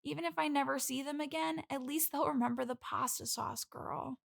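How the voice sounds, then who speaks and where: A woman's voice: high-pitched, steady tone, fairly quick, vocal fry. A woman playing a needy, lonely bridesmaid desperate for friends, rambling earnestly as a guest on an improvised comedy podcast. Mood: earnest, wistful.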